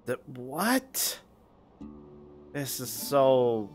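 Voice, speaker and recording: Male streamer, mid-pitched, casual and musing, close mic, faint guitar music behind. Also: very animated, drawn-out words. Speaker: game streamer. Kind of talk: casual reaction commentary, thinking aloud. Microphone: close studio condenser microphone. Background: game music with plucked guitar.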